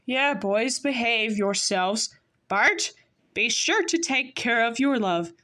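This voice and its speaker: A woman voicing a gentle, encouraging cartoon townswoman in a fan-made performance. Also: a little high, animated, takes it slowly, full character voice.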